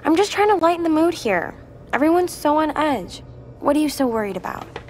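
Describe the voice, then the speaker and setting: A woman's voice: sits high, expressive, a little fast, a little mellow, faint music behind. A woman playing an upbeat, teasing and loyal young friend in a video game cutscene. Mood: reassuring.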